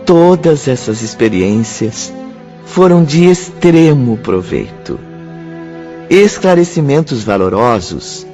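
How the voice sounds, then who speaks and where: A man's voice: mid-range voice, a little mellow. A man narrating a radio drama in a scripted, declamatory style. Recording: dull audio with faint music.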